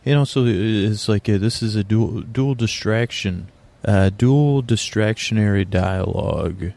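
A man hosting a podcast, talking in a meandering, halting way and drifting into a slow, lulling bedtime monologue. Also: fairly low pitch, gravelly, darkish tone. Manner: meandering, halting, with restarts and filler words; slow drowsy lulling delivery. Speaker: podcast host.

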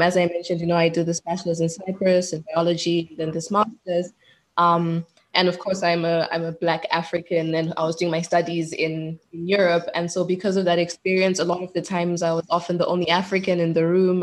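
A woman in her twenties from Zimbabwe speaks with a lowish voice. She gives an interview as a doctoral researcher. She talks in a measured, reflective way and chooses her words with care. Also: monotone, low-quality recording. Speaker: guest, PhD researcher. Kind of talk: interview over a call.

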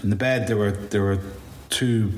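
A man's voice: on the low side, fairly even intonation, close to an everyday voice. Roomy-sounding actor as a shaken male apocalypse survivor. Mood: haunted, tense. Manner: halting, stammering, sweary, weary. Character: shaken, bitter male zombie apocalypse survivor recounting his ordeal. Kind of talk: first-person audio drama monologue, confessional. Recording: roomy echo, faint background noise.

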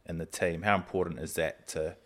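A man's voice: low-pitched, steady tone, brisk, fairly bright tone. A man interviewing a guest on a podcast, asking thoughtful questions with hesitant restarts.

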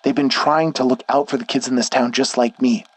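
A man's voice: mid-range voice, fairly even intonation, brisk, no room sound, voice pushed up, natural voice. A man voicing a worried, protective young man who scolds urgently while out of breath. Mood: firm.

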